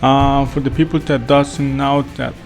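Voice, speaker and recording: Slow, gravelly-voiced Salvadoran man vlogging. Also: medium pitch, flat delivery, faint music behind. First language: Spanish. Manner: hesitant, many fillers and restarts, apologetic. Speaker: travel vlogger. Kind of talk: casual spoken review.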